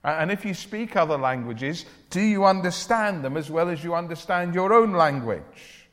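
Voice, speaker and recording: A man preaching a church sermon in an earnest, deliberate way, with declarative phrasing. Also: fairly high pitch, lively intonation, a bit bright. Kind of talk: evangelical church sermon with Bible reading and prayer. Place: large church or hall, speaking to a congregation. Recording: reverberant hall, long echo.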